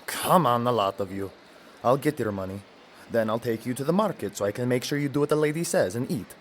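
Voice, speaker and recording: A man playing a gruff, mocking enforcer and steward in an audio drama. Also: medium pitch, lively intonation, fairly quick, a little hiss or hum, lightly acted. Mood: brusque.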